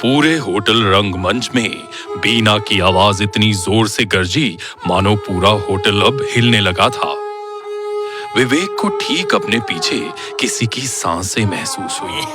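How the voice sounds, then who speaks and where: A man's voice: lowish voice, sing-song, takes it slowly. A man narrating a horror audio story in a dramatic, scripted style. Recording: clear music bed under the voice.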